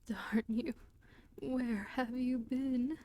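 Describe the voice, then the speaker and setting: A bitter, tearful middle-aged woman from a storybook, whispering her reproaches very slowly, as a woman reading the tale puts her on. The voice stays mellow and hushed, with a faint hiss behind it. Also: fairly high pitch, steady tone, voice pushed up.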